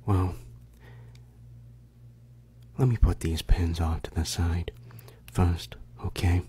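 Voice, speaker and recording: A man's voice: deep voice, fairly even intonation, takes it slowly, warm tone, a little hiss or hum. A young man whispering ASMR into a very close microphone, confiding and playfully coaxing the listener. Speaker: ASMR creator. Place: bedroom indoors. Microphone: large desktop microphone, very close.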